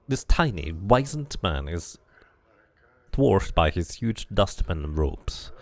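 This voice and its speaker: A man's voice: on the low side, vocal fry, darkish tone, no room sound. A man streaming a game, switching between casual commentary and reading the story text aloud as narration.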